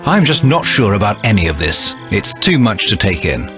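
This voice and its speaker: Low, animated, sceptical young agent. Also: male voice, normal speed. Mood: baffled, doubtful. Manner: sceptical, plain-spoken. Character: bewildered, dutiful young male agent, reluctant heir. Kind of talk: dramatic video game cutscene acting. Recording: music behind the voice. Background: music.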